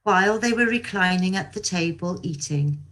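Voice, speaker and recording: A woman's voice: on the low side, moderate pace, fairly bright tone, low-quality recording. A woman giving a scripted Bible reading as a scripture reader over a video call.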